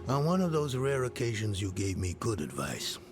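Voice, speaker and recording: An actor playing a burdened, grumbling old mystic in a dark fantasy game, with a gravelly voice and brooding, halting manner. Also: male voice, on the low side, very animated. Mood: reluctant, brooding. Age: older. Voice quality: gravelly, breathy at times. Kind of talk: dramatic video game dialogue. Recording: dramatic game music underneath.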